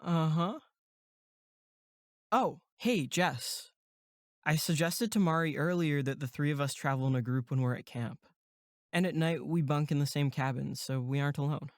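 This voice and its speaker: Laid-back young male counselor, voiced by a woman, audio drama. Low-pitched, dry humor, protective, close dry recording. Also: moderate pace, no room sound. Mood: firm, casual. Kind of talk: horror audio drama acting.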